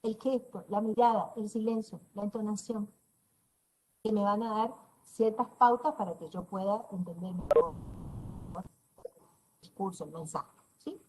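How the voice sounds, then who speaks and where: Lecturer, woman, middle-aged, northeastern Argentine accent, mid-pitched, explanatory with dry humor, heard over a video call. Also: a little slow, pauses often, a little mellow, a little hiss or hum, poor audio. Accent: northeastern Argentine Spanish. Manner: explanatory, checks in with "¿sí?" often. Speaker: university lecturer. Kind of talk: online class lecture.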